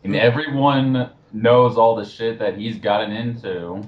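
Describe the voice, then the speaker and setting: Guy w a lowish voice on muffled lo-fi voice chat, scornful, swears, just chatting. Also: steady tone, measured pace. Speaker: commentary co-host. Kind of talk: casual group commentary. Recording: dull lo-fi voice chat.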